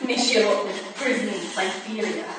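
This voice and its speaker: A woman's voice: mid-range voice, normal speed, a bit bright, close to an everyday voice. A woman playing a weary, sorrowful Russian ballet dancer, subdued and mournful, in a staged drama reading. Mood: sorrowful resignation. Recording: echoey hall, distant mic, noisy.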